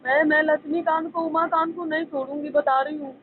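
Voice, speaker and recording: High-pitched pleading woman on tinny phone. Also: steady tone, a little fast, a crowd in the background. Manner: insistent, pleading, repetitive. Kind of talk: emotional private phone argument. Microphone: phone call. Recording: narrow tinny phone line, faint noise.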